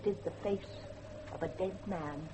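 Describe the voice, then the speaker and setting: An actress playing a haunted, timid gentlewoman, speaking formally and dreamily in a gothic horror drama. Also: lowish voice, normal speed, background noise, lightly acted. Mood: eerie, reverent. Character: haunted, timid gentlewoman living in fear of watchers.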